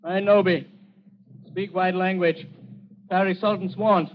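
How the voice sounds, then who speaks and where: A grave young chief's son in old radio drama style. He demands things in slow, clipped pidgin English, high for a man. The recording is dull, with some music behind it. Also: fairly even intonation, background noise. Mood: solemn, commanding. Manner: halting pidgin English in short clipped phrases. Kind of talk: 1940s radio acting.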